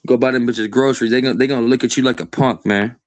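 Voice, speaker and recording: A young man in his early twenties talks loosely and crudely, circling his point with "like" and "bro" at every turn. His voice sits at a mid pitch for a man and comes across dry and close, with no room around it. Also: steady tone, quick pace, no echo. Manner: rambling, crude, says "bro" and "like" constantly. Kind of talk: casual profane chat.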